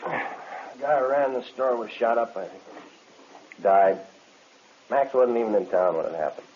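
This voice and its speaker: An actor playing a guarded, weary jail inmate in a 1950s radio crime drama, clipped and understated. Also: male voice, medium pitch, animated, measured pace, lightly acted. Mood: guarded, casual. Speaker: narrator and lead actor in a police radio drama. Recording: muffled, noisy old radio recording.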